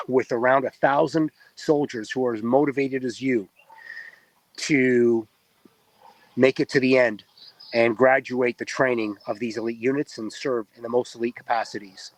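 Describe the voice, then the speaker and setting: A tired man in his forties with an Israeli accent answers interview questions in an earnest, explanatory way, filling pauses with ums and uhs. His voice sits at a middle pitch and sounds close and dry, with no room around it. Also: no room sound, rough recording. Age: 40s. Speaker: reserve soldier guest.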